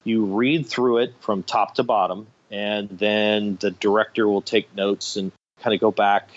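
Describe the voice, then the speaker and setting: A man's voice: fairly low pitch, little pitch movement, measured pace, lo-fi sound. A man speaking as a radio theatre director in an interview, explaining things conversationally, step by step and with examples.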